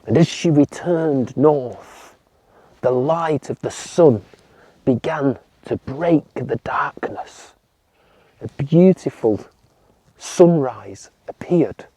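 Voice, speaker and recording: A man telling a folktale to children in a slow, gentle voice that often drops to a hush. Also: medium pitch, animated, breathy edge, dry recording. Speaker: children's storyteller. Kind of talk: storytelling for children.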